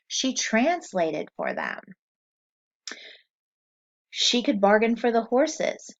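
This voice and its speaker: Slow, animated woman teacher reading aloud. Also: mid-range voice, dull audio. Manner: slow, clear and encouraging, pausing for students to answer. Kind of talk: vocabulary read-aloud lesson for children.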